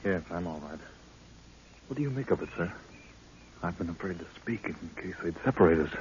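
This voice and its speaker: Earnest deep-voiced young expedition assistant. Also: male voice, animated, a little fast, darkish tone. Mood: anxious. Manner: earnest, respectful. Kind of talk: 1930s radio acting. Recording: muffled, noisy old 1930s radio recording.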